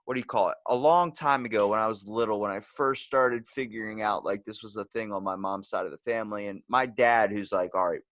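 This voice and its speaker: A man's voice: on the low side, fairly quick, few pauses, a bit bright, dry recording, clean studio sound. A man hosting a podcast, chatting casually in a rambling, candid way and swearing freely as he tells personal stories. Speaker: podcast host.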